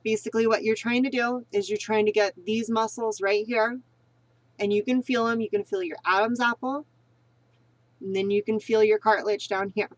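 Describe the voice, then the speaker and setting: A woman's voice: on the high side, fairly even intonation, normal speed, no room sound. A woman giving a casual spoken tutorial on voice training, rambling and repeating herself, earnest and encouraging. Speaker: vlogger teaching voice training.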